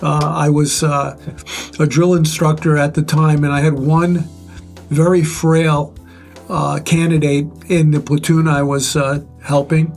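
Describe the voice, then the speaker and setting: Older man, loud-ish voice, slightly high pitch, pausing storyteller, faint guitar behind. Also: level tone, creaky. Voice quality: relatively loud voice. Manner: measured, pausing often with "uh". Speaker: guest sharing life advice. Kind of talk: reflective conversational storytelling.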